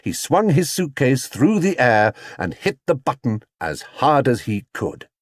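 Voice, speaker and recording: Very animated male storybook narrator. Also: medium pitch, unhurried, no echo, studio quality. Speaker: audiobook narrator. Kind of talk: expressive scripted children's story narration.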